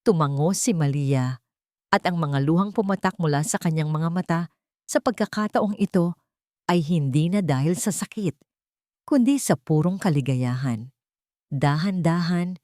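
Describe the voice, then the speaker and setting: A woman's voice: deep voice, warm tone, no room sound, studio quality. A woman narrating a scripted romantic drama as a storyteller.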